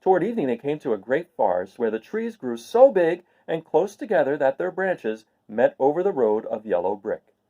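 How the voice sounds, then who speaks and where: A man reading a children's story aloud in expressive scripted narration. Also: mid-range voice, moderate pace. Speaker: storybook reader.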